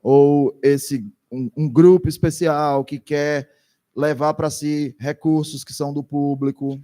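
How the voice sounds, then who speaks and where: A man works as a consecutive interpreter for a lecture, with a voice a little higher than usual for a man. He speaks hesitantly, often restarting and repeating words as he searches for phrasing. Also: fairly even intonation, slow pace, warm tone.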